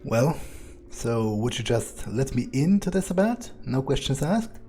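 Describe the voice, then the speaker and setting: A man voicing a wry, cocky fledgling vampire in an improvised game role-play, speaking informally with musing pauses. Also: mid-range voice, big pitch swings, darkish tone, a little hiss or hum. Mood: probing. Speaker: gamer role-playing as his character. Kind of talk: improvised in-character game playthrough.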